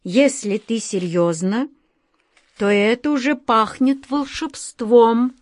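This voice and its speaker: Woman voicing a calm kindly wizard. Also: medium pitch, slow pace, no room sound. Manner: calm, puzzled, reassuring.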